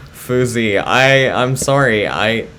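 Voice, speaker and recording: A man with a low voice, chatting casually with viewers as a chess streamer, soft-spoken and hesitant with many fillers. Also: very slow, a little hiss or hum. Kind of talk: casual live-stream chat.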